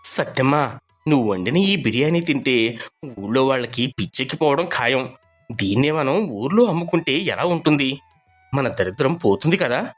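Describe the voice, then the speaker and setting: A man voicing a poor, humble village laborer in an animated story, earnest and expressive. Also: mid-range voice, moderate pace, soft background music, natural voice. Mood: delighted. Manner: expressive, pleading. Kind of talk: cartoon storybook voice acting.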